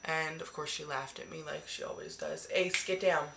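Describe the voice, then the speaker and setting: Hoarse, gravelly-voiced woman vlogging from bedroom. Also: low-pitched, fairly even intonation, moderate pace, background noise. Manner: chatty, swears casually, rambling. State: hoarse, recovering from flu. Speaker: beauty vlogger. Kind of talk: casual monthly favorites chat. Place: small decorated bedroom, indoors. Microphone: webcam at arm's length.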